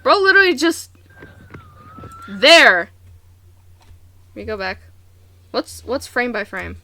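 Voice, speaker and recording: A woman hosting a reaction video, commenting casually in an animated, exclamatory, slangy way. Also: a little high, slow.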